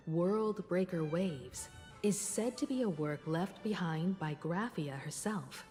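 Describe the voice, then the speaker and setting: Composed, lower-pitched game strategist. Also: female voice, mellow, big-room sound. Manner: measured, explanatory. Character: composed, knowledgeable grown woman, game scholar-strategist. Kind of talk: video game voice acting.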